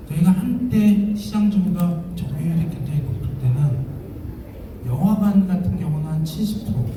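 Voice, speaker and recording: Echoey, distant male presenter with sore throat. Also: fairly high pitch. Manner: formal, polite, explanatory. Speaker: company chairman presenting at a business event. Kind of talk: business presentation to an audience. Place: event venue, public address to an audience. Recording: very echoey hall, distant, noisy.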